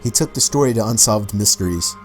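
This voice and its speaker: A man's voice: on the low side, animated, fairly quick, over loud music. A man delivering scripted documentary-style narration about real mysteries. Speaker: video narrator.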